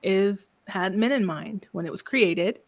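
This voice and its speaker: A soft-spoken woman business coach talking calmly in a casual interview, often ending thoughts with a questioning "right?". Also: medium pitch, unhurried, highs cut off. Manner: calm, reflective, frequent "right?" tags.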